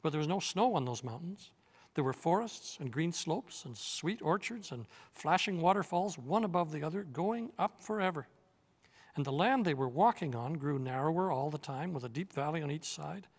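A man in his sixties reading a children's novel aloud in a measured, steady storytelling manner, seated in an armchair on a studio set. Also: fairly high pitch, breathy edge, big-room sound. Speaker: reader.

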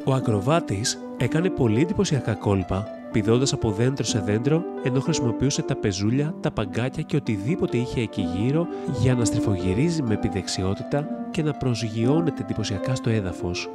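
A man's voice: medium pitch, animated. Man narrating a fairy tale over music. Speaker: storybook narrator. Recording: music bed behind the voice. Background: instrumental music.

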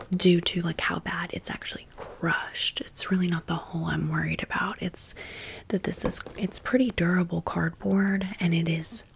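A woman's voice: fairly low pitch, gravelly, slight background noise. A woman hosting an ASMR storytime, rambling casually and conversationally with wry asides. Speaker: ASMR video host.

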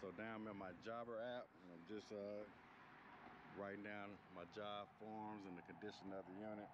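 A man's voice: fairly low pitch, normal speed, dull audio. A middle-aged man working as an HVAC technician, talking casually and repeating himself as he walks through a rooftop job, recorded outdoors on an action camera. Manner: relaxed, conversational, repeats himself, says "y'all". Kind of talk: casual how-to vlog narration. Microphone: action camera worn or held at arm's length. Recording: loud outdoor noise over voice.

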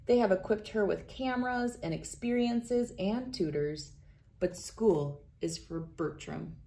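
A woman in her thirties reading a children's picture book aloud in a deliberate, expressive storytelling manner. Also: medium pitch, gravelly. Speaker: read-aloud host. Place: indoors, wood-panelled room with large windows.

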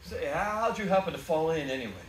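A man's voice: on the high side, animated, fast, close to an everyday voice. A man playing a bewildered, sardonic, despairing family man in a stage radio play, with folksy stammering hesitations. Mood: puzzled. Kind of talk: stage radio play acting, 1940s manner. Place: small theatre stage before an audience. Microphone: far audience camera. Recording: echoey theatre, distant mic, background noise.

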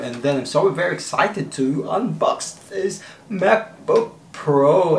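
A man in his thirties with a Filipino accent, mixing Tagalog and English in an excitable home unboxing vlog, recorded in a small kitchen on the camera mic. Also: medium pitch, creaky, roomy sound, a little hiss or hum. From Philippines. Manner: mixes Tagalog and English, excitable, self-deprecating. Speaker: vlogger.

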